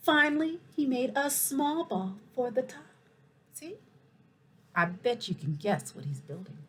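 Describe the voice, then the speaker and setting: Woman, higher-pitched, very varied intonation, children's read-aloud, speaks directly to young listeners. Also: big pitch swings, measured pace, a bit bright, slight background noise. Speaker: school principal reading a picture book aloud.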